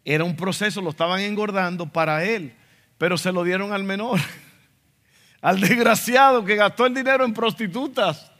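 A man's voice: high-pitched, moderate pace, fairly bright tone. A man with a Dominican accent preaching a sermon as a pastor, emphatic, acting out quotes in asides. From Dominican Republic. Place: church sanctuary. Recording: reverberant church hall.

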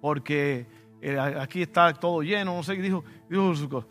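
A man's voice: on the high side, moderate pace, fairly bright tone, soft background music. A male pastor with a Dominican Spanish accent, preaching a conversational sermon with personal stories and sudden emphatic bursts. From Dominican Republic.

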